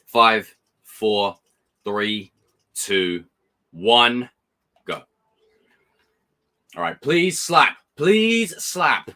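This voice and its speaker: A man hosting a live watch party with a croaky morning voice, talking nervously, swearing and pleading. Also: mid-range voice, very animated, very slow, long pauses, bright, forceful tone. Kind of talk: live reaction commentary.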